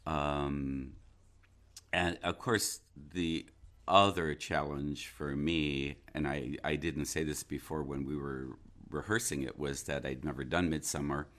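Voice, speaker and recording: A man talking reflectively as an interview guest, an actor who pauses and searches thoughtfully for his words. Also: rumbling low, fairly even intonation, a bit bright. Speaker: interview guest, stage and radio-play actor.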